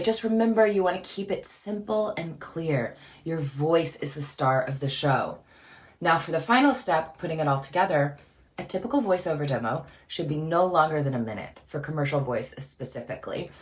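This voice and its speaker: Low-voiced upbeat woman coaching voice-over. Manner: upbeat, chatty, lively emphasis. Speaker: voice-over coach and channel host. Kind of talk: casual instructional talk to camera.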